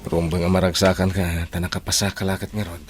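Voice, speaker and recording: An actor playing a gentle, supportive young suitor in an Ilocano radio drama. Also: male voice, low-pitched, level tone, fast talker, faint music behind, natural voice. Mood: encouraging.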